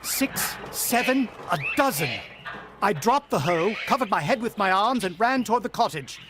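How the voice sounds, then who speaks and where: An actor playing a rattled, protective farmhand husband in a suspenseful, vintage-style radio drama. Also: male voice, sits high, expressive, normal speed, background noise. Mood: tense, breathless narration. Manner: dramatic, suspenseful first-person storytelling.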